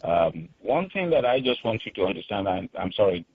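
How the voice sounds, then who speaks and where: A man from Nigeria with a Nigerian accent, calling in to a radio show, earnest and halting with many fillers. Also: on the low side, very animated, breathy edge, no room sound. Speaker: caller. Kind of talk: call-in radio talk. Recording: tinny phone line.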